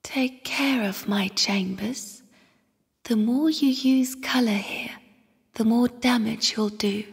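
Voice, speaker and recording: Sad ghostly girl spirit from a game, slow whispery airy voice, kinda high, solemn and mysterious. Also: creaky, husky, exaggerated voice. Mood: solemn, hushed. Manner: slow, hushed, solemn delivery. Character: mysterious, sorrowful young spirit sister of the void. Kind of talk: video game character voice acting. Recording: long cavernous reverb.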